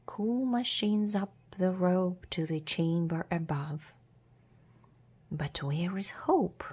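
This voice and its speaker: Very slow, husky woman reading a storybook. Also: fairly low pitch, warm tone. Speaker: storybook reader. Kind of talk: slow scripted storybook narration for children.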